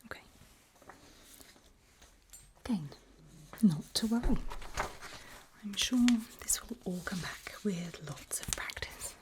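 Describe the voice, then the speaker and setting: A woman speaking in a breathy whisper and very soft voice, playing a calm, reassuring doctor in a gentle, patient ASMR roleplay. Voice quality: breathy whisper mixed with very soft low speech. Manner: gentle, unhurried, encouraging, patient. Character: calm, reassuring doctor running a creepy memory test. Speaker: ASMR performer playing a doctor giving a memory test.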